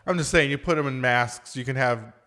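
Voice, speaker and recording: A man's voice: mid-range voice, fairly quick, pushed and bright. A man co-hosting a podcast, talking in a casual, slangy way full of "like" as he reviews films. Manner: informal slangy talk, frequent "like". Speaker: podcast co-host. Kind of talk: casual movie review chat.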